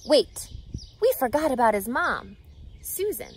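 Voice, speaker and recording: A woman in her thirties reading a children's book aloud outdoors, with an expressive, teacherly delivery that emphasises key words. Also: high voice, unhurried. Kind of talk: animated children's picture-book read-aloud. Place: outdoors, grassy lakeside yard. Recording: outdoor ambient background noise.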